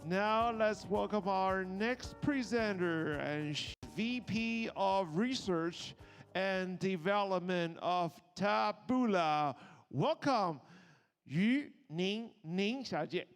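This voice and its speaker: A man's voice: high voice, slow pace, faint music behind. A man hosting a live event on stage, introducing and thanking people over a sound system. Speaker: event host.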